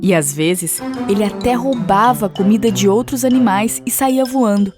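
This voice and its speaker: A woman's voice: mid-range voice, normal speed. A woman with a Brazilian accent reading a scripted children's fable as a storyteller. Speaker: narrator. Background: instrumental music.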